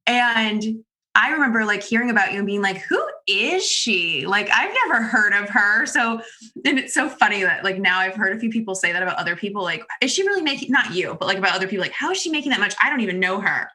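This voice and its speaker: A woman's voice: mid-range voice, expressive, quick pace, sharp tone. A young adult woman chatting enthusiastically in a casual interview, leaning on "like" as she talks. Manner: chatty, enthusiastic, heavy use of "like". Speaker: interviewer and former client.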